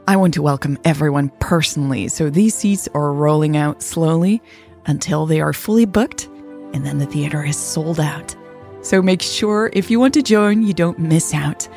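A woman's voice: low voice, a little mellow. A woman reading a scripted promo for her podcast membership.

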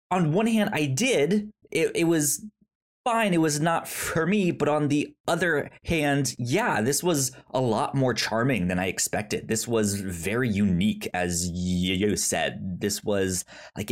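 A man hosting a casual film review podcast, talking haltingly and often stammering over the start of words. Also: medium pitch. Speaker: podcast host.

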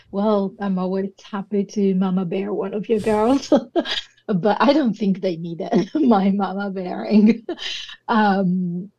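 A woman's voice: mid-range voice, fairly even intonation, slow, slightly rough. Italian-accented woman on a dull line. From Italy. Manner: warm, laughing often. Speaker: guest, engineering director. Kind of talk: casual panel chat.

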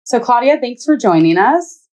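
A woman's voice: on the high side, very animated, moderate pace, darkish tone. A woman, speaking as a podcast host introducing and interviewing.

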